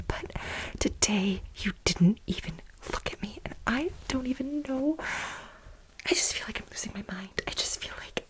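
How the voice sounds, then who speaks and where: A woman playing an aggrieved, manic, overworked research assistant in a soft-spoken, whispered ASMR role play, with halting, dramatic complaints. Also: on the high side, background noise, lightly acted. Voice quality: breathy whisper.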